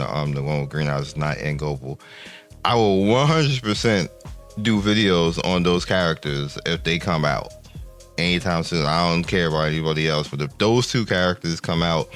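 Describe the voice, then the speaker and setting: Older guy with a real deep low voice, rambly gaming channel chat, bit of music underneath. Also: a bit bright. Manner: rambling, many restarts, laughs at the numbers. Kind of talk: casual commentary to viewers.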